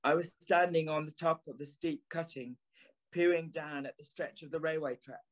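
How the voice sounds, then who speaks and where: A man's voice: fairly high pitch, steady tone, moderate pace, close to an everyday voice. A man playing a haunted, remorseful journalist, narrating an audio play with brooding, theatrical phrasing. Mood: hushed, uneasy recollection. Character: haunted, remorseful journalist recalling an eerie encounter. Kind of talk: dramatic first-person audio play narration.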